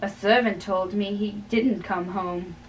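A young woman doing a halting dramatic play reading in a small bedroom, stumbling over and repeating lines. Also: medium pitch, fairly even intonation, a little hiss or hum. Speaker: amateur play reader. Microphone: camera a few feet away. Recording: distant mic in a bedroom.

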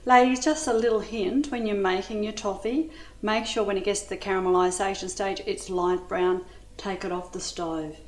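A woman's voice: medium pitch, steady tone, moderate pace. A middle-aged woman with an Australian accent demonstrating a dessert recipe in a home kitchen, chatty and enthusiastic, addressing viewers as ladies. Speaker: cooking demonstrator. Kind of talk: cooking demonstration. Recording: echoey kitchen with light noise.

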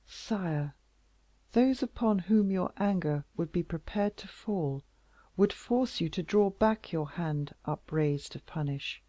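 A reader playing a gentle, humble young woman who speaks softly and pleads in a slow audiobook reading, recorded dry and close. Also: medium pitch, no room sound. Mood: sorrowful pleading. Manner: soft, pleading, at times whispered.

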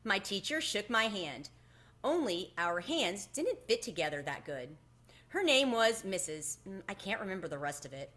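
A middle-aged woman reading a children's story aloud in an animated storytelling manner. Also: mid-range voice, moderate pace. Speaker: book reader. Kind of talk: expressive children's read-aloud. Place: home room with bookshelves, indoors. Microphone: webcam at desk distance.

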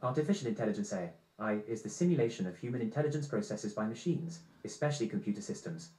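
A man's voice: fairly low pitch, expressive, fast talker, dark tone, echoey room, low-quality recording. A synthetic male voice assistant reading out informational answers as text-to-speech.

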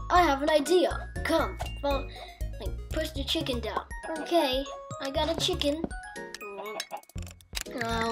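A child improvising an amateur voice-over for a cartoon. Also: high voice. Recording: music behind the voice.

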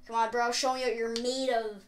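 A woman's voice: a little high, level tone, fairly quick, echoey room, a little hiss or hum. A woman talking casually with a friend as co-host while playing a video game. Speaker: gaming video co-host and player. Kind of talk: casual gameplay chat.

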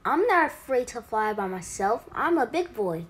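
A voice actor playing an excited, eager little boy in a children's storybook read-aloud. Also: medium pitch, normal speed, husky. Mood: proud, happy. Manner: eager, exclamatory. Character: excited little boy on a trip to see grandpa.

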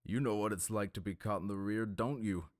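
A man's voice: fairly low pitch, a little fast, no echo, clean studio sound, close to an everyday voice. A man voicing a brutish, mocking male pirate captain in an audiobook. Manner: jeering, mocking.

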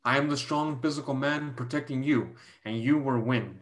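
Solemn protective guardian, mid-pitched man acting. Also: moderate pace, lightly acted. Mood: solemn, insistent. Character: stern protective Chinese man, older guardian of a girl. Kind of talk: staged play reading. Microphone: video call.

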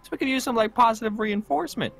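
A young man playing a doubtful apprentice dragon fighter in a Minecraft roleplay, casual, hesitant and quick to question. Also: very high voice, gravelly, fairly bright tone, full character voice. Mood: doubtful, complaining. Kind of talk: improvised Minecraft fantasy roleplay. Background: music.

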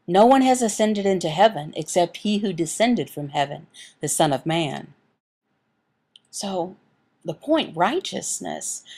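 Creaky-voiced woman voicing a sermon. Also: on the high side, a little mellow. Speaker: voice interpreter reading a sermon. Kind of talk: sermon voiced over sign language, with scripture reading.

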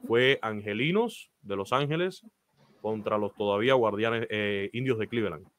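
A man's voice: fairly low pitch, moderate pace, a bit bright, no echo. Cuban-accented man telling his career story. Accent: Cuban Spanish. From Cuba. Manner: earnest, advice-giving, many "eh" fillers. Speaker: baseball play-by-play announcer. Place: small room, indoors. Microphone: video call.

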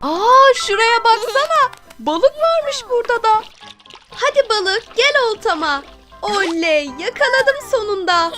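Cartoon lion cub: very high, swooping, cheeky and greedy little boy, music behind. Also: sharp tone, heavily acted. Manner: exclamatory, childlike, cheeky. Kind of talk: dubbed children's cartoon.

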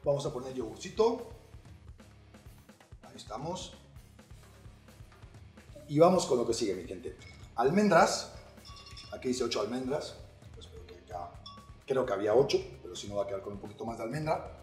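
A man's voice: medium pitch, big pitch swings, normal speed, pauses often, darkish tone, roomy sound, faint music behind. A young man presenting a recipe in a casual, playful cooking segment, talking straight to his viewers. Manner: upbeat, playful, addressing viewers directly.

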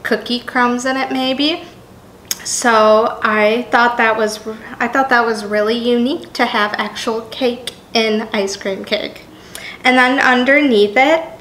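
A woman in her thirties presents a food review in a fairly high voice. She takes her time, speaking slowly and deliberately. The room around her adds a noticeable echo. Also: a bit bright, a little hiss or hum. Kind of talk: scripted vlog narration and casual food review. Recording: echoey home room.

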